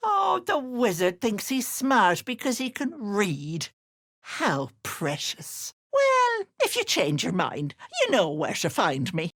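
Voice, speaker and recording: A woman's voice: mid-range voice, wildly swooping pitch, slow, a bit bright, no echo, studio quality, heavily acted. A sugary old auntie witch. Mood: mocking. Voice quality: honeyed, mocking, sly endearments. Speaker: video game character. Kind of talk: game voice lines.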